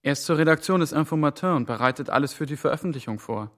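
A man's voice: a little high, animated, quick pace, dry recording, clean studio sound, close to an everyday voice. An actor playing an anxious, impatient man caught up in a conspiracy in a German radio play, with a natural, muttering manner. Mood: businesslike.

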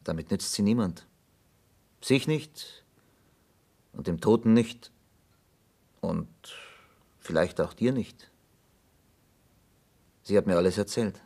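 Investigator, radio drama: patient, probing, low-pitched, measured but insistent questioning. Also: male voice, gravelly, mellow, exaggerated voice. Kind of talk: German radio play acting.

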